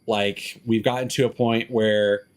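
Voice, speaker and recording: A man's voice: lowish voice, level tone, unhurried. A man live streaming a game, chatting casually with rambling, profane, opinionated commentary. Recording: music behind the voice.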